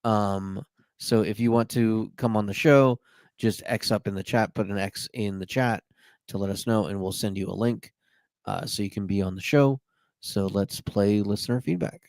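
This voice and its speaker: A man's voice: on the low side, normal speed, a little mellow, no echo, clean studio sound. A man hosting a podcast in an informal, chatty way with short slangy interjections. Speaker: podcast host. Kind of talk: casual podcast chat.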